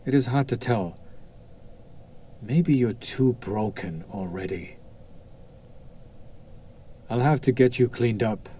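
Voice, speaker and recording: A man playing a haughty, coolly amused demon buyer in a roleplay audio drama, cultured and menacing, with a deliberate, condescending, taunting delivery. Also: on the low side, dark tone, slight background noise.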